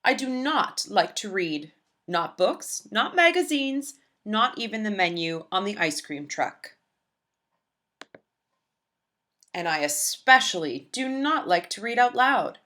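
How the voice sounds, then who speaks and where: A woman voicing an earnest, shy young schoolgirl who narrates her own storybook tale with deliberate, childlike phrasing. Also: mid-range voice, very animated, pushed and bright. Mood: glum.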